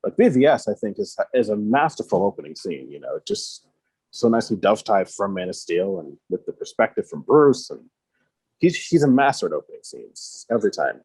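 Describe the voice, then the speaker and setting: A man's voice: on the low side, big pitch swings, a little fast, darkish tone, husky, no echo, rough recording. A man in his early twenties talking casually about films on a video call. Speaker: film podcast guest or co-host. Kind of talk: casual film chat.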